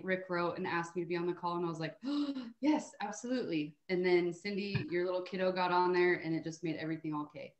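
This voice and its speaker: An American woman giving a conversational motivational testimonial as a guest speaker, encouraging and emphatic. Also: on the low side. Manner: encouraging, emphatic, often adds "right?". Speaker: guest speaker on a sales team call. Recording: roomy, echoey call audio.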